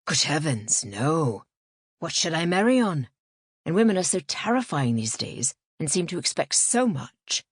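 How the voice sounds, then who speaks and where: Audiobook gentleman, acted by a woman: languid, wry, provocative charm, pitched low, very dry sound. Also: big pitch swings, unhurried, breathy edge, no echo, full character voice. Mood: wry, flirtatious. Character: teasing, charming, educated man, wry and languid. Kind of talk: audiobook character voice.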